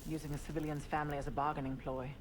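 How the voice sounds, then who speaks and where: Man acting as troubled soldier, game dialogue, terse, serious, slightly high voice, noisy ambience behind. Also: little pitch movement, racing, lightly acted. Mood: troubled, questioning. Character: wary, morally troubled male military operative on a mission. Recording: clear background noise of game ambience.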